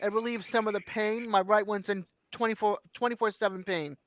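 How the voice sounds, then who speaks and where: A woman's voice: medium pitch, steady tone, brisk, highs cut off. A woman in her fifties joking animatedly in a casual group chat about her aches. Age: 50s. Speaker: chat participant.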